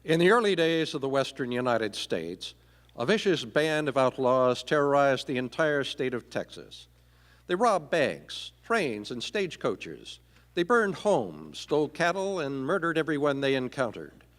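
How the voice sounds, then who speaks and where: A man's voice: medium pitch, big pitch swings, measured pace, fairly bright tone. A man narrating a Western radio play in a dramatic old-time announcer style, grandiose, stretching out key phrases. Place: performing arts center stage, live. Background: orchestral music accompaniment.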